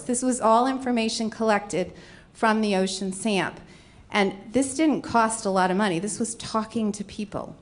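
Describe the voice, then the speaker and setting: Rhode Island woman presenting slides. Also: medium pitch. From Rhode Island, USA. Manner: explanatory, walking through slides. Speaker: conference panelist. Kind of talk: conference presentation.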